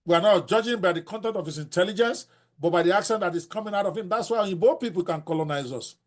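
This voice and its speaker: An older Nigerian man with a Yoruba accent, teaching a book-writing class in a blunt, animated way and dropping in Yoruba phrases. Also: sits high, quick pace. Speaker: host.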